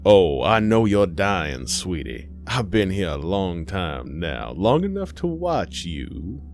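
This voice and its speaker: A man voicing a sly spirit in a mother's shape for a horror story, sweetly taunting in a drawn-out folksy way. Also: deep voice, very animated, heavily acted. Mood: menacing sweetness. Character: sly menacing female spirit wearing a mother's face.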